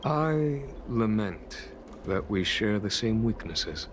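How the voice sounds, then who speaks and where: Deep-voiced courtly sage, grave, deliberate, world-weary, game dialogue, faint background noise. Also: male voice, animated. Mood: solemn, wistful. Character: solemn, courtly, world-weary male lore keeper. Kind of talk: video game voice acting, measured storytelling.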